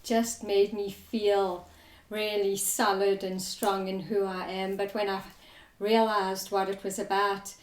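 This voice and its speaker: A woman's voice: mid-range voice, flat delivery, slow, few pauses. A South African woman chatting casually as a guest on a talk show. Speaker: guest, massage therapy business founder. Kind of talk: casual chat. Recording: echoey, roomy sound.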